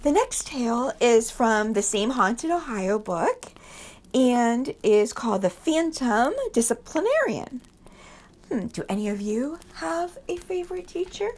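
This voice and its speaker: A woman with a fairly high voice reads aloud as a storyteller. Her phrasing is measured and deliberate, in the manner of someone reading from a book to listeners. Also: a little mellow.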